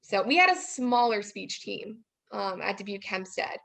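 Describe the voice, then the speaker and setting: A woman's voice: medium pitch, sing-song, normal speed, gravelly, fairly bright tone. A young woman, chatting casually as an interview guest. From Iowa, United States. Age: young adult. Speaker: guest, former speech student and teacher.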